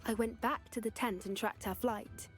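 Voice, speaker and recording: Woman doing a polite british schoolgirl witch from a game, earnest, kinda high voice, faint music under it. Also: fairly even intonation, moderate pace, natural voice. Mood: earnest, confident. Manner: polite, well-spoken, earnest. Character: earnest young schoolgirl witch, animal lover, resourceful. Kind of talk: video game character dialogue. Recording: music behind the voice.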